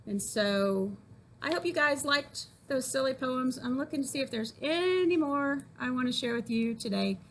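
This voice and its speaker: A woman teacher reading aloud expressively and explaining things simply for children, with an animated, folksy delivery. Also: high voice, moderate pace, a little hiss or hum. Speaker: teacher reading poems to grade-school children.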